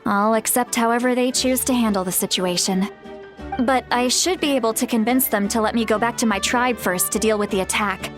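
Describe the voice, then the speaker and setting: A woman's voice: a little high, brisk, music in the background, close to an everyday voice. A woman playing a composed young leader in a game who speaks firmly. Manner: firm, composed. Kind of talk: game dialogue.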